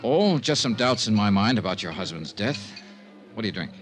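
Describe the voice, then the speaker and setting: Low-pitched American private investigator, lively inflection, courteous but blunt, probing, 1950s radio drama, music in the recording. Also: male voice. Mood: smooth, direct. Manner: measured, direct, courteous questioning. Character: blunt freelance insurance investigator, polite, probing, world-wise.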